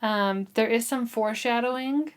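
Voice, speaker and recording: A woman's voice: a little high, normal speed, clean tone. A woman co-hosting a book discussion, recapping a novel in a casual, giggly, conversational way. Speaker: book discussion podcast co-host.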